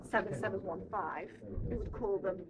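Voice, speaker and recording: A woman's voice: medium pitch, moderate pace, crowd noise. A young woman giving an informal explanatory talk to a group, often checking that they follow with tag questions. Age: young adult. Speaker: air traffic controller giving a tour. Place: indoor radar control room. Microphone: handheld camera a short distance away. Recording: distant mic, loud room noise.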